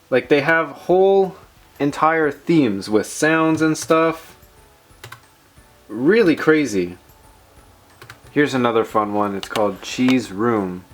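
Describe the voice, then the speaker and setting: A man hosting a tech video, chatting informally and rambling through a software demo with playful asides. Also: medium pitch. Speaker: tech channel host. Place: indoors at a desk in a room.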